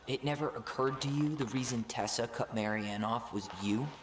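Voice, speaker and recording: A man's voice: medium pitch, normal speed, reverberant, lightly acted. An actor playing a bitter young man in a video game drama, blunt and accusing.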